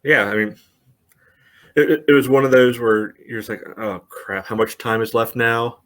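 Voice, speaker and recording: A man co-hosting a casual sports podcast, dry and wry, reeling off stats at a steady clip. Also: mid-range voice, moderate pace. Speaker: podcast co-host.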